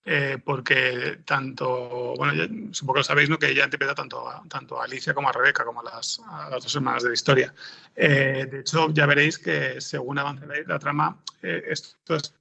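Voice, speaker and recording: A man with a raspy, gravelly voice, answering interview questions in a hesitant way, as if thinking aloud. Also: medium pitch, little pitch movement, a little fast, bright, forceful tone. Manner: hesitant, thinking aloud, frequent throat clearing. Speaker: interviewed podcast scriptwriter.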